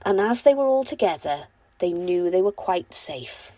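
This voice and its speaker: A woman reads a children's story aloud with lots of expression. Her pitch moves freely through deliberate phrases, and the sound is dry, with no room echo. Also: lively intonation, mellow. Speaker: storybook reader. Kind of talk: expressive bedtime story narration.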